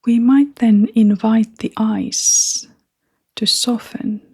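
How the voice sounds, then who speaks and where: Very slow, breathy woman guiding meditation. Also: fairly high pitch, fairly even intonation. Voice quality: soft, breathy, half-whispered. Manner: gentle, unhurried, spacious phrasing. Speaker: meditation guide. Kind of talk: guided meditation.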